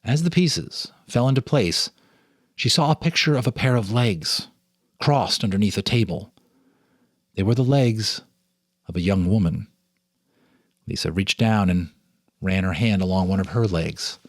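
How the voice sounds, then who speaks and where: A man's voice: lowish voice, measured pace, pauses often, no room sound, studio quality. A man reading a ghost story aloud as a storyteller, with a theatrical, suspenseful delivery. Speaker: storyteller, host.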